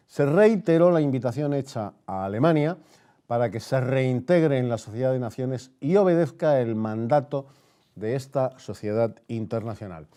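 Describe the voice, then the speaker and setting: A man hosts a radio programme. His voice is mellow and mid-pitched, and he has the formal manner of a news announcer. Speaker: radio host. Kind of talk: radio news bulletin and interview presenting.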